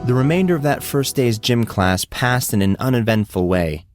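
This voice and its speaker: A man's voice: mid-range voice, lively intonation, moderate pace, darkish tone, soft background music. A man reading scripted fiction as an audiobook narrator.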